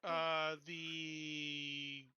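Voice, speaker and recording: A man chatting casually as a tabletop roleplaying player, hesitant and thinking out loud. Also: on the high side, level tone, very slow, clean tone, no room sound, muffled recording. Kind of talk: casual game chat.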